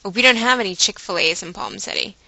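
Bright-voiced woman telling a chatty, dramatic story. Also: medium pitch, very animated, fast talker, creaky, no room sound, rough recording. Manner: chatty, dramatic emphasis, acting out her own inner dialogue. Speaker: vlogger. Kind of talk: animated storytelling monologue to viewers.

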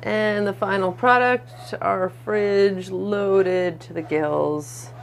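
Slow gravelly-voiced woman narrating. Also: mid-range voice. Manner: relaxed, dry running commentary. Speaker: home cook vlogger. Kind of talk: casual narration over kitchen footage. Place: home kitchen.

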